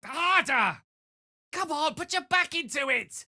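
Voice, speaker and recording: A man's voice: very high voice, animated, unhurried, pushed and bright, no echo, clean studio sound, heavily acted. A man voicing an articulate, bookish human wizard in a video game, wry and gallant, with theatrical, wordy delivery. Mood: forceful, rousing. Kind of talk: video game character acting.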